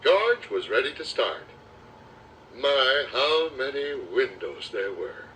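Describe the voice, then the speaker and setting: Male children's audiobook narrator, slow and lively, dramatic storytelling style, slightly distant cassette sound. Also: medium pitch, a little hiss or hum. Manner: expressive, dramatic storytelling delivery. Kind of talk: children's storybook read-aloud.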